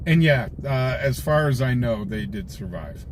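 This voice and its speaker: Clear vehicle noise surrounds an American man talking live from his car. His voice sits at a middling pitch and he takes his time. He chats casually with his viewers and rambles through anecdotes. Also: expressive, a little slow. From United States. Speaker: livestream host, scanner-chasing reporter. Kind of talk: casual chat with livestream viewers. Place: inside a car, live on patrol. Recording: raw livestream, loud vehicle noise.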